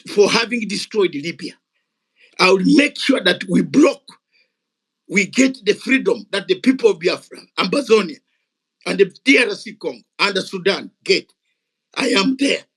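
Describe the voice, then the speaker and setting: A man's voice: high-pitched, a bit bright, husky. Fiery older man with Ugandan English. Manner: fiery, emphatic, repeats words for force. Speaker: guest speaker at a gathering. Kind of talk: impassioned unscripted speech. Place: gathering of activists.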